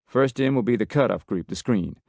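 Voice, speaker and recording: A man voicing a brisk male American commander with a Texan drawl, giving a loud, commanding briefing. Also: mid-range voice, animated, creaky, no echo, studio quality, voice pushed up. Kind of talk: audiobook character voice.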